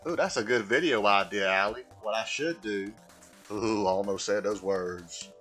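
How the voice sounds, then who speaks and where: A man's voice: on the low side, normal speed, a bit bright, close to an everyday voice. Man putting on a folksy, simple Southern drawl. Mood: folksy, chatty. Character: slow, simple, earnest Southern man, film-character impression. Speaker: video game streamer. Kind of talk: livestream gaming chat held in a put-on voice. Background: music.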